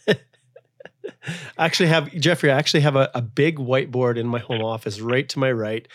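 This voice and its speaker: A man's voice: medium pitch, steady tone, moderate pace, no room sound, studio quality. Chatty man hosting a podcast. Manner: chatty, rambling with restarts. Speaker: podcast host, immigration lawyer. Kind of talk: casual interview chat.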